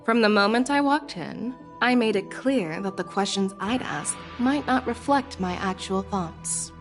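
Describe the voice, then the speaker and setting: A woman's voice: mid-range voice, a little slow, soft background music, close to an everyday voice. A woman voice actor playing a probing, confident female general who questions people formally in a video game. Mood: frank. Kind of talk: scripted video game voice acting.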